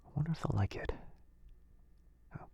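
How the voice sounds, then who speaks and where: A man playing a kind, caring wizard in a boyfriend ASMR roleplay, murmuring softly and a little hesitantly close to the microphone. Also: normal speed, no room sound, muffled recording, natural voice. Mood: musing, drowsy. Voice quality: soft, breathy, husky. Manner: gentle, hesitant, murmuring, intimate. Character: kind, caring young male wizard, gently fussing. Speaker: audio roleplay voice performer. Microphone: close, dry microphone.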